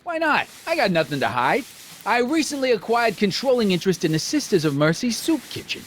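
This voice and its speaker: A man's voice: sits high, very animated, a little fast, fairly bright tone, slight background noise. An actor playing a brash, bullying American gangster boss in a video game, with sarcastic, domineering tough-guy talk and old-time slang. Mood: smug.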